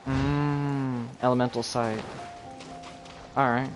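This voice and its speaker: Casual male streamer over loud game music. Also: mid-range voice, level tone, slow pace. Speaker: streamer playing a video game. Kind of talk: casual live gameplay commentary.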